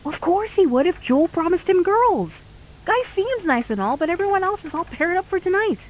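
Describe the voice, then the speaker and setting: A woman playing a questioning high school girl in an amateur audio drama, with a casual conversational manner. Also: big pitch swings, moderate pace, darkish tone, rough recording. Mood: skeptical.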